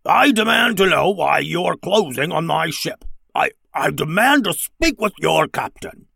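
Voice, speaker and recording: A man's voice: fairly high pitch, lively intonation, creaky, pushed and bright, slightly rough, no room sound, clean studio sound, voice pushed up, heavily acted. A man voicing a pompous, slimy frog-like alien battleship captain in a grumbly, haughty voice for a children's story. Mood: haughty, demanding. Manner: haughty, dismissive, slow and drawn out.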